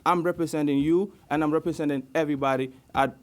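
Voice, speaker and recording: A young man student giving an earnest campaign speech, pausing on fillers as he goes. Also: a little high, fairly even intonation. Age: young adult. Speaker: student election candidate.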